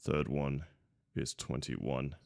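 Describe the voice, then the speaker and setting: A man's voice: a bass voice, slow, gravelly, darkish tone, smooth, dry recording, studio quality. A man playing a tabletop game, speaking casually in short, terse, plain turns. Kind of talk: casual, brief game talk.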